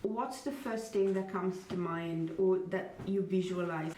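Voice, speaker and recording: A woman interviewer who asks her questions gently and lets them ramble. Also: on the low side, measured pace. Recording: echoey room, distant mic, faint noise.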